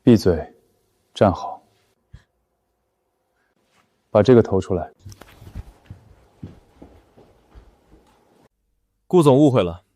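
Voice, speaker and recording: A male actor plays an unflappable company boss in an animated web drama. He is authoritative and precise, his voice moving in a lively way across its range as he makes his points in businesslike phrases. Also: medium pitch, no room sound. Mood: commanding, cool.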